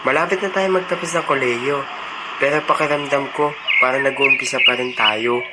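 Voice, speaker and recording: A man's voice: medium pitch, a little fast, lightly acted. A young Filipino man playing a loyal, cheerful college friend in an amateur motion comic. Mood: weary. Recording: very noisy amateur recording with clicks.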